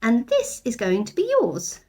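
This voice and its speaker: Young girl, performed by a woman reader: welcoming, helpful storybook chatterer, high-pitched and keen. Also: huge pitch swings, unhurried, warm tone, breathy edge, full character voice. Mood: chatty, welcoming. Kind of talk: children's storybook character voice.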